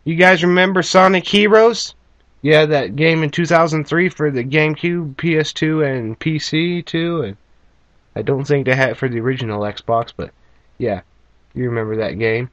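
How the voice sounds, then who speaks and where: Higher-pitched man, sweary gaming commentary. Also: normal speed, fairly bright tone, muffled recording. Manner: informal, sweary, addresses viewers as folks. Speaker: gaming video host. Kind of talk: casual gameplay commentary. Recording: amateur camera filming a TV screen. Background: video game music.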